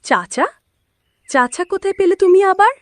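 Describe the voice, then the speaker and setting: A woman's voice: extremely high pitch, lively intonation, normal speed, no echo. A woman playing a devoted, devout farmer's wife in a village cartoon, speaking earnestly and caringly. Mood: curious. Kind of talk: Bengali cartoon voice acting.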